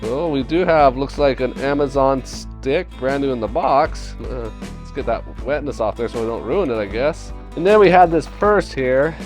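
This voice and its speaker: A middle-aged man with a hoarse voice after a cold, hosting a casual unboxing vlog and rambling aloud as he digs through a storage locker, recorded on a chest-mounted camera in a dim indoor room. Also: mid-range voice, normal speed, soft background music. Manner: rambling, thinking aloud while digging through items. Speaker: storage locker reseller, channel host.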